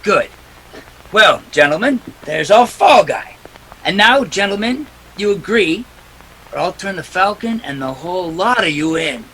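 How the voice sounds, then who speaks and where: Man playing a bright-voiced, hard-boiled noir detective. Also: sits high, lively intonation, unhurried, breathy edge, heavily acted, rough recording. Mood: urgent, pressing. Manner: hard-boiled, clipped, defiant. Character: tough, wisecracking male private detective in 1940s noir. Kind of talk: 1940s radio drama acting with first-person narration.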